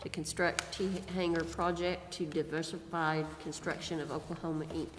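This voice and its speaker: A woman's voice: low voice, little pitch movement, normal speed. A woman serving as a council member, formally reading out motions and replying briefly. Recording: echoey reverberant chamber, faint noise.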